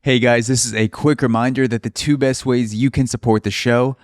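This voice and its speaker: A man's voice: medium pitch, steady tone, normal speed, no room sound, studio quality. A man in his late twenties hosting a podcast, talking casually and groping for words. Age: 20s. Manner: casual, searching for words, many restarts. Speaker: podcast host. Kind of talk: casual interview and ad read.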